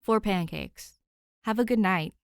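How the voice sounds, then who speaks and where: A woman's voice: mid-range voice, measured pace, vocal fry, clean tone, no echo, pitched above the performer's own voice. A woman voicing an exasperated, protective young male masked vigilante, weary and dry, in an audiobook story. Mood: concerned. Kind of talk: audiobook character dialogue.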